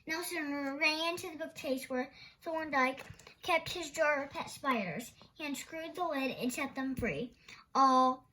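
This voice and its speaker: A woman's voice: high-pitched, unhurried, sharp tone, rough recording. A woman storyteller reading a children's picture book aloud expressively.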